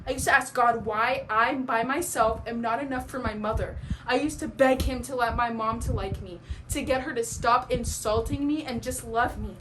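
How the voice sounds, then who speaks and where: A woman's voice: on the high side, a bit bright, lightly acted. A young woman playing a bitter, wounded, neglected daughter in an emphatic, aggrieved stage soliloquy. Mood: hurt, resigned. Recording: clearly noisy amateur recording.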